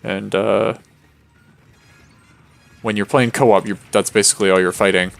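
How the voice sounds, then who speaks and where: A worn-out man speaks in a very low voice with plenty of gravelly fry. He rambles in a flat monotone, stalling on fillers and false starts as he plays and chats to his viewers. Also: measured pace, faint music behind. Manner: rambling, many fillers and restarts. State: tired. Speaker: streamer. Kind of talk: casual gameplay commentary.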